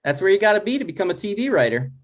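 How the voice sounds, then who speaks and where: A man's voice: high-pitched, big pitch swings, very fast. A man playing a neurotic, touchy son in his thirties, argumentative and dry, in a scripted comedy table read. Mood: anxious, dry. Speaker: writer and actor in a table read.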